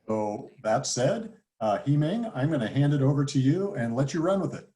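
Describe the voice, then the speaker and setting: Man hosting a webinar, relaxed. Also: medium pitch, moderate pace. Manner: relaxed, filler-heavy. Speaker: business development manager hosting a webinar. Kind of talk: hosting a video meeting. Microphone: video call.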